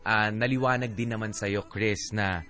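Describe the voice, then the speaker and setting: A man with a Filipino accent co-hosting a radio show, casually reading listener messages and giving advice in a halting, conversational way. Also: fairly low pitch, fairly even intonation, pushed and bright. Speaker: radio DJ co-host.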